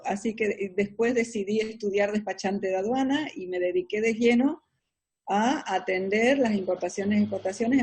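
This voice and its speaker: A middle-aged Argentine woman with an Argentine Spanish accent, answering interview questions about her business in an explanatory way, often pausing on fillers. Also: mid-range voice, a little mellow, slightly rough. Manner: explanatory, hesitant with many fillers. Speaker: businesswoman guest.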